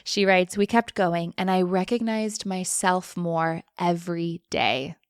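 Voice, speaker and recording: A woman's voice: fairly low pitch, measured pace, no echo, clean studio sound. A woman hosting a book podcast, talking in a casual, effusive way as she discusses and reads from books. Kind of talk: conversational book discussion with read-out passages.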